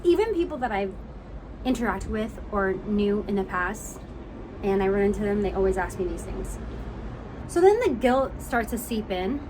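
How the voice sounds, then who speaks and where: A woman's voice: medium pitch, expressive, moderate pace, background noise. A woman in her thirties giving an emphatic, encouraging motivational talk, calling listeners honey and y'all. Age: 30s. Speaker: vlogger.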